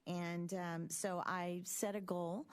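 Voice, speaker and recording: A woman in her fifties speaking at a school board meeting, telling a personal story in a measured, reflective way with frequent ums. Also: fairly low pitch, level tone, smooth. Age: 50s.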